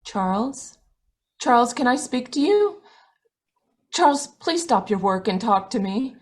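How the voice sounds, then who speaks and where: A woman playing a frightened, bitter middle-aged wife in an old-time radio drama, intense and pleading, with a husky voice. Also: on the high side, lively intonation, unhurried. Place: indoors, live video call performance.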